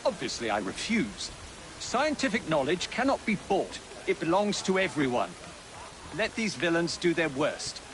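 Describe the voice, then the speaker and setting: An actor playing an earnest, courtly elderly Victorian gentleman scientist with an English accent, speaking formally and theatrically in a game cutscene. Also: fairly high pitch, sing-song, moderate pace, background noise. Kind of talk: dramatic game cutscene acting. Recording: game audio under loud music.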